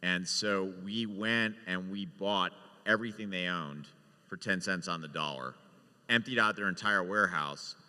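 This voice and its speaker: A man's voice: low voice, normal speed, bright, forceful tone. A man who produces television, casually sharing anecdotes as a panel guest. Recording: echoey hall with long reverb.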